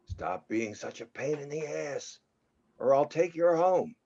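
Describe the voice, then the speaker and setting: Man acting menacing Hollywood producer, entitled, swooping pitch, dull low-fidelity audio, table-read drama. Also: big pitch swings, unhurried, mellow, exaggerated voice. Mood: threatening. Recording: dull lo-fi microphone sound.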